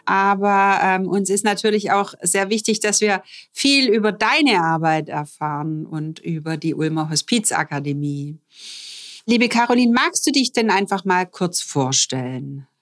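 A woman's voice: medium pitch, unhurried. A middle-aged woman, hosting a podcast interview as the head of an organization and speaking in a friendly, formal way with many fillers. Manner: warm, formal address with frequent fillers. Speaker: managing director hosting the podcast.

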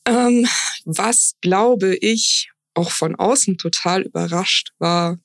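A woman's voice: lowish voice, slow, no room sound. A woman in her early thirties answering interview questions in a casual, conversational way. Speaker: podcast guest, hospice volunteer.

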